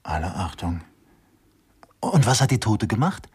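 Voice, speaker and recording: An actor playing a gruff, baffled policeman in a radio crime play, grumbling in a very raspy voice. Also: fairly low pitch, sing-song, measured pace, a little mellow, exaggerated voice. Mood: puzzled. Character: gruff, baffled male policeman who hates modern art. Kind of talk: 1990s German radio play acting.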